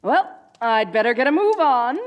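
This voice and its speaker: A woman playing a dorky, theatrical evil sorceress queen in a comedic roleplay audio drama, grandiose and gleefully wicked yet soft-hearted and awkwardly chatty. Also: on the high side, very animated, moderate pace. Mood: breezy.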